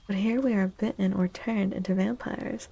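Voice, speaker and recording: A woman streaming tarot readings in a soft ASMR style, gentle and breathy, often near a whisper with unhurried pauses. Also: mid-range voice, fairly even intonation.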